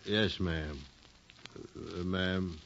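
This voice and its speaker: A man's voice: deep voice, steady tone, drawn-out words, vocal fry, a bit bright, exaggerated voice. An actor playing a dim, deferential, boozy policeman in a 1950s radio drama, hesitant in his replies. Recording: dull, faintly noisy 1950s radio recording.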